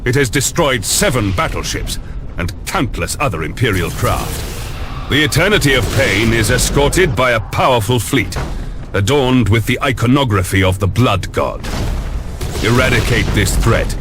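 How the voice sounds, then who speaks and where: An actor playing a resolute lord admiral in a game cutscene, giving formal, measured, authoritative military briefings. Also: male voice, medium pitch, close to an everyday voice. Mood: urgent briefing. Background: faint music.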